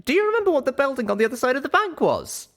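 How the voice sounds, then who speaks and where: A man's voice: very high voice, very animated, rapid-fire, a bit bright, voice pushed up, exaggerated voice. A man voicing an earnest, plucky young female pony heroine in an audiobook. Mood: inquisitive.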